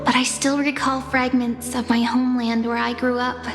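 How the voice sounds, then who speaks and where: An actress voicing a gentle, mysterious woman stranded in an empty void, speaking softly and persuasively in a game cutscene, with music behind. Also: fairly high pitch, normal speed, long reverb tail, lightly acted. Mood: earnest warning. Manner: soft, calm, persuasive.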